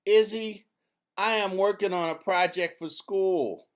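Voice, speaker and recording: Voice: mid-range voice, unhurried, voice pushed up, natural voice. A storybook narrator voicing an eager boy scientist who asks things in an earnest, polite way. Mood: earnest, asking.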